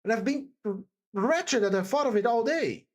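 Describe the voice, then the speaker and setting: A woman narrator performs a timid young woman in deep distress from a classic storybook. Her voice rises high and swings widely as she pleads with fervent compassion. She stammers and repeats herself emphatically. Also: expressive. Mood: desperate, pleading. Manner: halting, stammering, breathless repetitions and emphatic exclamations. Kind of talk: dramatised audiobook character dialogue.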